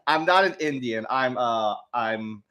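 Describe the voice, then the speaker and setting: A man's voice: mid-range voice, lively intonation, a little slow, sharp tone. A young man chatting casually on a livestream, rambling with lots of restarts and frequent laughs. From United States. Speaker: streamer.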